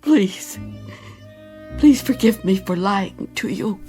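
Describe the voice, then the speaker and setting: A timid poor lady in a radio play, stammery and polite, slow, voice goes up and down a lot. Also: medium pitch, animated, dark tone, soft background music. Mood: ashamed. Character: shy, poor, sweetly apologetic woman selling junk. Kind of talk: radio drama acting.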